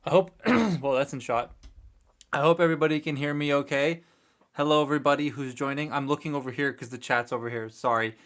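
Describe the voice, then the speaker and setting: A man's voice: mid-range voice, steady tone, brisk, fairly bright tone. Congested man chatting on a livestream. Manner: rambling, chatty, many fillers and restarts. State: congested, recovering from a cold. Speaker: toy review livestream host. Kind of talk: casual live chat while building a kit. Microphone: desk mic close by.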